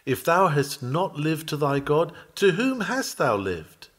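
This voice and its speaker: A man's voice: a little high, very animated, fairly bright tone. Earnest man reading a sermon. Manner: earnest, measured, preacherly delivery. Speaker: sermon reader. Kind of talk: reading a Victorian sermon aloud.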